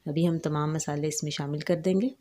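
Woman walking thru a recipe step by step, low mellow voice, calm n patient. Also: a little fast. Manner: calm, instructive, explains each step. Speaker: cooking channel host. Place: home kitchen.

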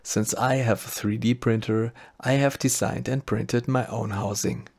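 A man's voice: medium pitch, steady tone, unhurried, darkish tone. A man with a German accent narrating a scripted electronics tutorial step by step. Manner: methodical step-by-step explaining. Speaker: maker channel host.

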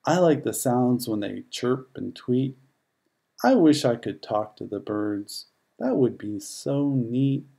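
A narrator voicing a gentle, dreamy spaniel in a rhyming children's storybook. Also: mid-range voice. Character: gentle, dreamy spaniel who loves birds.

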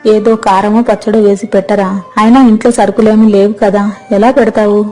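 Lady doing a tired poor mom of grown sons, plaintive and fretful, soft mellow voice, faint music, storybook. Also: mid-range voice, flat delivery, moderate pace, natural voice. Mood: puzzled. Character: weary, hungry, long-suffering mother of grown sons. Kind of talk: acted moral story dialogue.

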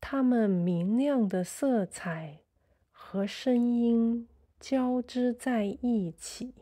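A woman narrating a guided sleep meditation in a soothing, measured way, in short phrases with pauses. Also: fairly high pitch, dark tone.

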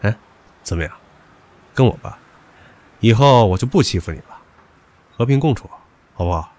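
A man's voice: on the low side, lively intonation, normal speed, a little mellow, close to an everyday voice. A man playing a stubborn, possessive young suitor in a modern audio drama, talking in a cocky, crude, pushy way. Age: young adult.

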